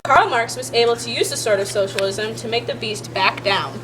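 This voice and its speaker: A woman's voice: fairly high pitch, moderate pace. A woman narrates a puppet show in a scripted fairy-tale storytelling style, on an amateur recording. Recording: clearly noisy amateur recording.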